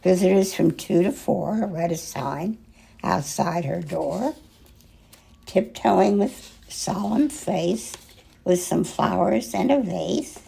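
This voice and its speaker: An older woman reading a children's picture book aloud in an expressive, gentle way, pausing dramatically between short phrases, with a husky, gravelly voice. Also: fairly low pitch. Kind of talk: children's storybook read-aloud, slow and expressive.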